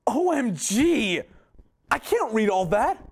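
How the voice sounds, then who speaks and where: A woman's voice: a little high, very animated, slow pace, a little mellow, husky, exaggerated voice. A woman playing a flippant, puzzled student in a scripted instructional play. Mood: puzzled, protesting. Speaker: readers theater performer. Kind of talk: scripted instructional stage dialogue.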